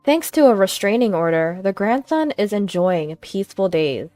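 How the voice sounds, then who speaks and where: Female storyteller, mid-pitched, scripted first-person narration, very dry sound with background music. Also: sing-song, moderate pace, warm tone, no room sound.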